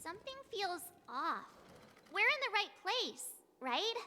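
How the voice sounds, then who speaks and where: Very high-pitched, spooked young girl. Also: measured pace, slight background noise, full character voice. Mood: uneasy. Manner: expressive, plaintive. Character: bubbly, easily spooked young girl adventurer. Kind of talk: scripted video game voice acting.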